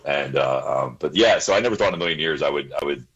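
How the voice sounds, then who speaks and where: A man's voice: very deep voice, quick pace, a bit bright, husky, highs cut off. A man in his forties, a guest in a casual interview, talking earnestly, repeating himself and laughing often.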